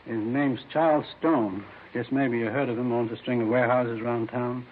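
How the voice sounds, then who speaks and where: An uneasy, down-on-his-luck informant played by a man talks in a medium-pitched voice, halting and earnest. It is vintage radio drama acting on a tinny recording with audible hiss. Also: steady tone, natural voice.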